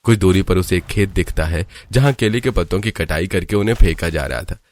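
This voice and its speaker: A man's voice: sits low, fairly quick. A man narrating a scripted cartoon storybook tale as the narrator.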